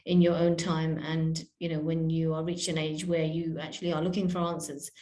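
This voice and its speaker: A woman talks slowly as a podcast guest, pausing often and restarting her sentences. Her voice sits fairly low and stays flat in pitch. The room has a noticeable echo. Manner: hesitant, many fillers and restarts. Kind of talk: reflective interview talk. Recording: echoey room.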